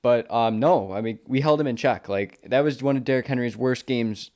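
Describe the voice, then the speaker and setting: A man's voice: mid-range voice, normal speed, darkish tone. An American man co-hosting a sports podcast, talking casually and informally like a confident fan. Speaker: podcast co-host.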